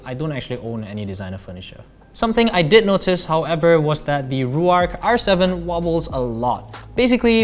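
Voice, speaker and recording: A man in his thirties with a Malaysian accent, narrating a scripted product review as a tech reviewer. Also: on the high side.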